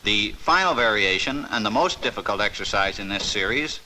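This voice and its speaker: Guy doing old 50s training film narration, bright crisp forceful tone, formal and instructive. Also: fairly low pitch, expressive, fairly quick, a little hiss or hum, rough recording.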